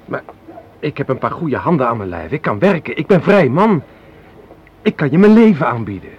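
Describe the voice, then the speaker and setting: Lovestruck, bewildered young man, radio drama; highish voice, swinging intonation, halting and pleading, muffled old recording. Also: big pitch swings, a little hiss or hum. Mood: hurt, pleading. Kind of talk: radio play acting.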